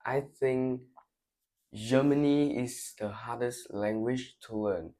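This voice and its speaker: Slow, hesitant teen boy, Vietnamese accent. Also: mid-range voice, mellow. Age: teens. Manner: hesitant, halting, with fillers. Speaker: student candidate. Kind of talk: answering exam questions.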